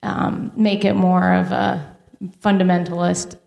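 A woman recounting conversations from a tour in a casual group discussion, measured with hesitant fillers. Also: fairly low pitch, flat delivery, gravelly, darkish tone, highs cut off. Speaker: trip panelist. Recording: echoey room.

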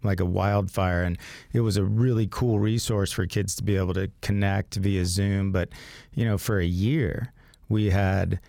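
A man hosting a radio call-in health show, his voice raspy from a sinus infection, speaking in a relaxed way and reading phone numbers slowly. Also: low-pitched, no room sound, clean studio sound. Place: radio studio.

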